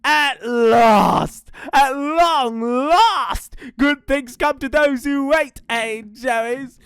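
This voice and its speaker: A woman's voice: high-pitched, extremely slow, clear, resonant tone, no echo, clean studio sound, voice pushed up, full character voice. A woman voicing a big bad male dingo in a children's story, greedy, lazy and mocking, with a gloating, theatrical delivery. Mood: smug, satisfied. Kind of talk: children's story character acting.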